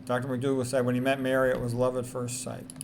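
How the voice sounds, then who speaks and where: A man with a medium-pitched voice presents informally, reading quotations aloud and following them with his own commentary. He is emphatic and tends to repeat key statements. There is some noise behind the voice. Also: monotone, fast talker, darkish tone. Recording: noticeable background noise.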